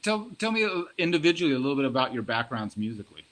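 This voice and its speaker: A man interviewing musicians in a relaxed, curious, conversational way. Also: mid-range voice, rapid-fire, over loud music, poor audio. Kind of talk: casual interview chat.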